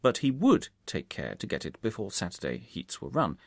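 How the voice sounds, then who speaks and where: A man voicing a shrewd, self-assured gentleman detective from a storybook, explaining himself precisely and methodically. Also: lowish voice, huge pitch swings, normal speed, vocal fry, dry recording, studio quality, heavily acted. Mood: confident, explanatory.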